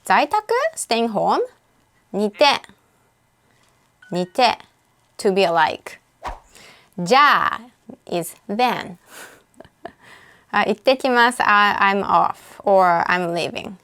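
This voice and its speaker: A woman with a Japanese accent, a native speaker, casually explaining words while playing a language app, thinking aloud with frequent pauses and self-corrections. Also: medium pitch, lively intonation, fairly bright tone. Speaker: language tutor. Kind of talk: casual screen-recorded app walkthrough.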